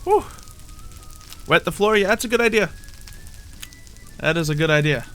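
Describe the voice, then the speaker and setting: A man with a bright, forceful voice narrates his own gameplay as a roleplay. He is theatrical and mock-boastful, often talking to himself and repeating phrases, over background music. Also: high-pitched, expressive, normal speed. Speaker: gaming video host. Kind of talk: in-character gameplay commentary, roleplay.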